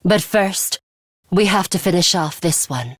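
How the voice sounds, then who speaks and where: A woman's voice: lowish voice, expressive, a little slow, dry recording, studio quality. A woman voicing a cold, ruthless young female fighter in an English video game, composed, blunt and commanding, with a bloodthirsty streak. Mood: composed, serious.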